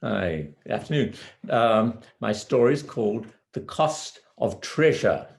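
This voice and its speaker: Slow, expressive male storyteller. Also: lowish voice, vocal fry. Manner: deliberate, pausing between phrases. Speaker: amateur storyteller. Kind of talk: measured fairy tale storytelling.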